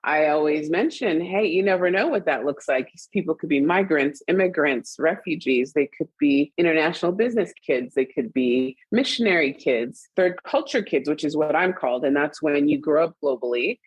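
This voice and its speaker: Low, husky woman with a standard US accent. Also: normal speed, few pauses, no room sound, rough recording. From Trinidad and Tobago and Costa Rica, raised internationally. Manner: animated, laughs often, chatty asides. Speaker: guest. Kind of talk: conversational interview.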